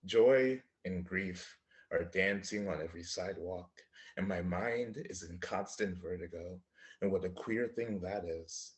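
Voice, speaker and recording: A man's voice: low-pitched, unhurried. A man presenting and reading his own poems at an online literary event. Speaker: featured poet reading own work. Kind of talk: live poetry reading with spoken introductions. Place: indoor room in front of a slate wall, online reading.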